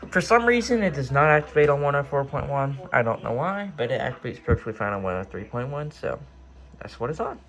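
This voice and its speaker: A man's voice: medium pitch, very animated, fairly quick. A man casually showing off his hobby gear in his room, rambling and hesitating as he thinks aloud. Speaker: vlogger, radio hobbyist. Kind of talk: casual unscripted show-and-tell. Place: indoors in his own room. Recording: clearly noisy home recording.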